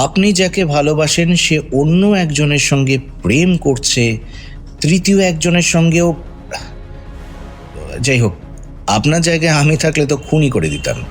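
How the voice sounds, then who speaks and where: Needling male detective with swinging intonation. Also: fairly high pitch. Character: probing, needling male detective, coolly provocative. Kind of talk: radio drama acting.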